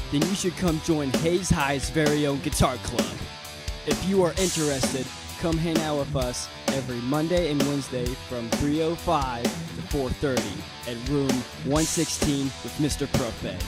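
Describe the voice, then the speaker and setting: Young guy doing a school club promo read, really loud guitar playing behind him. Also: a little high, a little slow. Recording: loud guitar music over voice.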